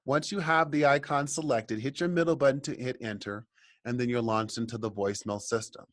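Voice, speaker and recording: A man's voice: mid-range voice, fairly even intonation, a little fast, fairly bright tone, dry recording. Man giving a dry, close step-by-step tutorial. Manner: instructional, step-by-step, addressing viewers directly. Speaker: tutorial instructor. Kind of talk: software tutorial walkthrough, semi-scripted explanation.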